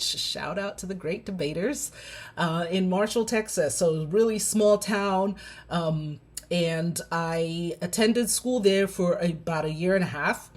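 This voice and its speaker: A woman in her forties from Zimbabwe, a clinical psychologist telling her personal story with many pauses and fillers. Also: on the low side, a little mellow. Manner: reflective, many ums and uhs.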